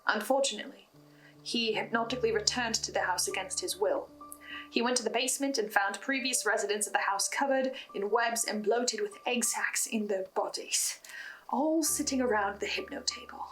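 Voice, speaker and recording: A woman's voice: a little high, normal speed, a bit bright, faint music behind, poor audio. A woman presenting a scripted recap of a horror story, adding her own theories and asides. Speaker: host of a recap and theory video on a horror podcast.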